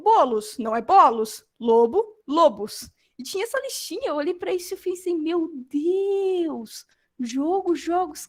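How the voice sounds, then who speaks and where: A young adult woman giving an informal lecture, animated and acting out quoted reactions. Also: high voice.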